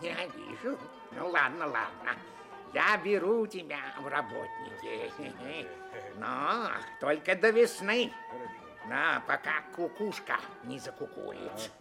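An actor playing a sly, bossy rich master in a fairy-tale audio play, husky and halting with crafty chuckles. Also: male voice, a little high, big pitch swings, music in the background, heavily acted. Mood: sly, self-satisfied.

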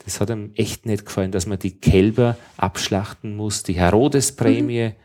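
A man's voice: lowish voice, normal speed, a little mellow. A man with an Austrian accent, a radio interviewer from Upper Austria, telling anecdotes in a rambling way with many restarts. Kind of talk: casual anecdotal conversation.

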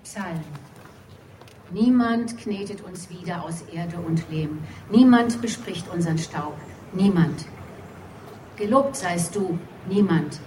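A woman's voice: lowish voice, slow pace, long pauses, a little mellow, roomy sound, slight background noise, low-quality recording. A woman reading poetry solemnly, captured in an amateur phone recording. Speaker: poetry reader at a literary evening. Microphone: mobile phone recording from the audience.

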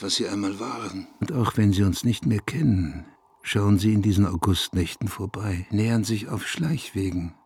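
A husky, low-voiced brooding male narrator. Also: darkish tone, dry recording. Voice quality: husky, rough-edged. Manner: measured, reflective storytelling. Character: brooding small-town man recalling local gossip and the dead. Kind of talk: literary radio play monologue, scripted narration.

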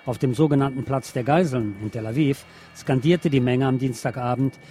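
A man reading scripted news in a measured, formal manner. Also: medium pitch, mellow, faint music behind. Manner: measured, formal read-out delivery. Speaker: news reader.

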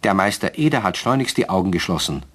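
A man's voice: low voice, sing-song, fast talker, a bit bright, a little hiss or hum. A man narrating a radio play as a storyteller, with scripted, slightly wry delivery.

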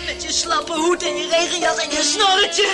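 A woman's voice: fairly even intonation, normal speed, over loud music. An actress playing a jealous, furious wife who rants tearfully at her detective husband in a radio play. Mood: tearful rage. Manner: shrill, tearful, foul-mouthed ranting.

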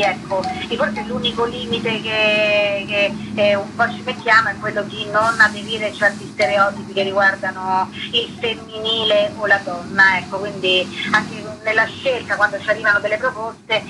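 A woman being interviewed on radio as a comedy guest, in a mid-pitched voice. She talks informally and hesitantly, feeling for the right words, with clear background noise on the recording. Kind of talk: spontaneous conversational radio interview.

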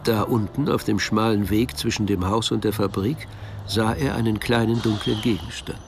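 Man narrating a radio play, deep-ish and crackly with lots of fry, reading scripted story bits. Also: fairly even intonation, moderate pace.

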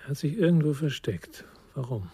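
An actor playing a quiet, persistent therapist turned sleuth in a radio crime drama, speaking in short, guarded sentences. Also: male voice, on the high side, sing-song, normal speed, slightly rough, full character voice. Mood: guarded, insistent.

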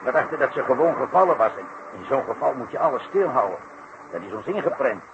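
An actor playing an earnest, shaken circus worker giving testimony in a 1960s radio play. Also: male voice, medium pitch, big pitch swings, fast. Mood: uneasy. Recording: muffled, noisy 1960s radio recording.

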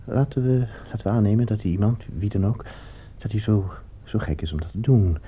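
An actor playing a wary, desperate morphine addict in a radio play, hesitant and guarded in manner. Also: male voice, lowish voice, fairly quick, natural voice. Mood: cautious, interested. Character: wary, desperate male morphine addict, disgraced former cadet. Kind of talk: Dutch radio play acting. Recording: muffled, noisy old radio play recording.

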